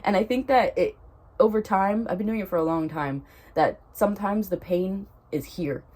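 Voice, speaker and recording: A woman's voice: mid-range voice, normal speed, dark tone. Congested, chatty woman vlogger. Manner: rambling, chatty, self-correcting. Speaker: spiritual vlogger. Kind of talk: casual talk to camera.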